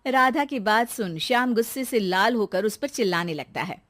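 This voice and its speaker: Woman narrating a cartoon moral story, highish voice, really animated delivery. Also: normal speed, dry recording, clean studio sound.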